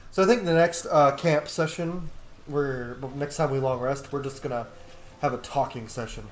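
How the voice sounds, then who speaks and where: A man's voice: mid-range voice, steady tone, normal speed, slight background noise. A man hosting a gaming video, giving casual playthrough commentary and role-playing along. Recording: roomy sound with faint game music. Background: game music.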